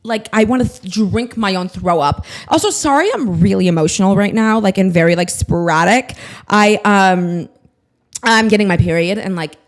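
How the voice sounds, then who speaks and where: A woman's voice: medium pitch, normal speed. A woman in her twenties hosting her own podcast, ranting in a scattered, self-mocking way and swearing freely. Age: 20s. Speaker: podcast host. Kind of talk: casual solo podcast monologue.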